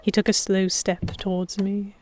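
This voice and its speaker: A woman's voice: mid-range voice, monotone, normal speed, darkish tone, a little hiss or hum, lightly acted. A woman playing a defiant, sheltered young lady held by pirates, narrating an audio drama in first person with articulate, literary phrasing. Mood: tense, alarmed. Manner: articulate, literary, reflective storytelling.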